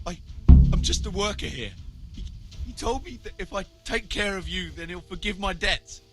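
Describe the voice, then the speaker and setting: An actor playing a frightened, guilty labourer who stammers and pleads haltingly in a medieval video game. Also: male voice, high-pitched, over music, lightly acted. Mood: terrified, pleading. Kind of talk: video game drama acting.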